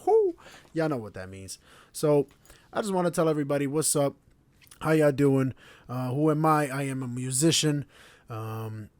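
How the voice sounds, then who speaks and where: Man in his 30s, casual podcast host, proud and relaxed, repeats himself, dry close sound. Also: mid-range voice, normal speed, pauses often, no echo. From born in Slovenia, raised in Connecticut. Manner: relaxed, proud, repetitive phrasing. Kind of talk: casual monologue to camera. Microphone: camera mic.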